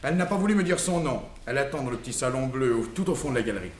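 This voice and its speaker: An actor in an early 1960s French radio play, voicing a deferential, hurried hotel bellboy in a roomy, slightly noisy recording. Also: male voice, medium pitch, expressive, lightly acted.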